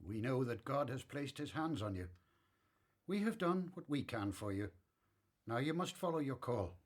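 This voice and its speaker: Man playing a gentle elderly father, expressive. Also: mid-range voice, moderate pace. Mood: thoughtful, advising. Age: older. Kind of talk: radio Bible drama acting.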